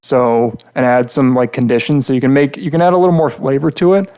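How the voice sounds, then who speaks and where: Man giving halting, rambling casual critique. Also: mid-range voice, fairly even intonation, dark tone, clear, resonant tone. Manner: halting, rambling, repeats words mid-thought. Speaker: podcast host reviewing game characters.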